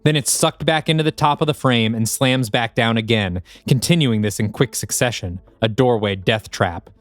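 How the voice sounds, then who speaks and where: A man's voice: mid-range voice, normal speed, soft background music. A man narrating a scripted action audio drama with vivid present-tense description.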